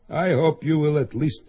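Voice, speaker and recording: An actor playing an emotional older father in a 1970s radio drama, with a husky voice. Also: a little high, a little slow. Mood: reproachful. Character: older male father, emotional and warm-hearted. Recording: dull lo-fi radio recording.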